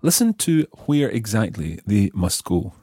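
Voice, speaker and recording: A man hosting a language lesson, explaining example phrases piece by piece with careful, measured articulation. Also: lowish voice, big pitch swings, mellow, slightly rough, dry recording, clean studio sound. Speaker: language teacher and host.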